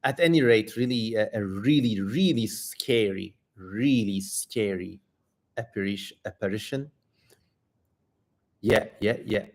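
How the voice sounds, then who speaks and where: A man's voice: fairly low pitch, measured pace. A man hosting a livestream, giving irreverent, slangy and theatrical commentary on a dramatic reading. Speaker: livestream host.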